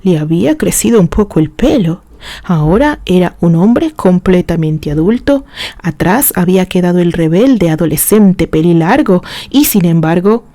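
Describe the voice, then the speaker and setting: A woman's voice: fairly low pitch, lively intonation, normal speed, mellow, no room sound. A woman reading a romantic literary story as a scripted narration.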